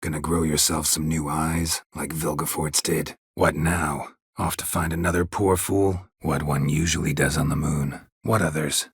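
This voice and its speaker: An actor playing a gruff, stoic, sardonic middle-aged monster hunter in a video game, a gravelly rasp and terse, deadpan delivery. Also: male voice, rumbling low, moderate pace, hardly any pauses, dry recording, studio quality, full character voice. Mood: cold, confrontational. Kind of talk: video game character dialogue.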